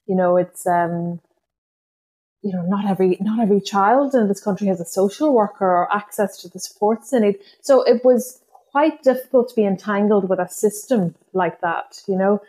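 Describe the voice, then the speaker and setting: A woman from Ireland with an Irish accent, a guest poet whose first language is Irish, speaking reflectively in an interview, pausing and restarting often. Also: medium pitch, warm tone. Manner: thoughtful, hesitant, many restarts and fillers.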